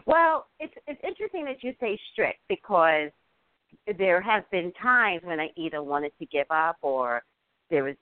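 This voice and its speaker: A woman's voice: on the low side, big pitch swings, moderate pace, dry recording, narrow, tinny audio. A woman hosting a call-in psychic reading, chatty, repeating words for emphasis. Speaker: psychic radio host.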